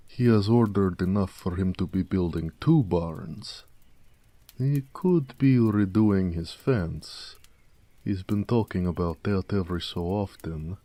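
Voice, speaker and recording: A man playing a gentle, affectionate orc blacksmith in a romantic audio roleplay, speaking tenderly with some teasing. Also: low voice, full character voice. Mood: musing, busy.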